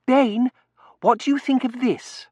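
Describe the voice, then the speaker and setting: A man's voice: a little slow, a little mellow, breathy edge, dry recording, exaggerated voice. A man voicing a spoiled, petulant young lady in an audiobook, indignant and imperious. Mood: gushing, excited. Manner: shrill, indignant, imperious, gushing. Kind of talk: audiobook character voice.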